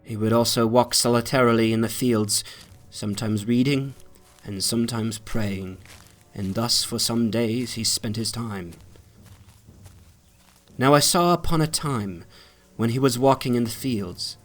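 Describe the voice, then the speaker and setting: A male narrator reads a classic tale with solemn weight. He phrases deliberately and leans into dramatic emphasis, with music playing behind him. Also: mid-range voice. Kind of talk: dramatic literary reading, scripted narration.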